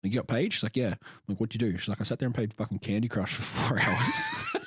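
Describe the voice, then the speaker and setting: A man's voice: lowish voice, quick pace, a bit bright, breathy edge, dry recording, studio quality. A young Australian man, a coach and podcast co-host, telling stories casually with lots of swearing. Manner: chatty, sweary storyteller, laughs often.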